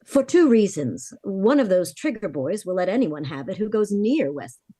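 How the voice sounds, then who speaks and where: A woman's voice: medium pitch, sing-song, normal speed, warm tone, breathy edge, no room sound. A woman playing a worried, frank young heiress of twenty-four in a vintage-style radio drama, confiding her story earnestly. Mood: tense.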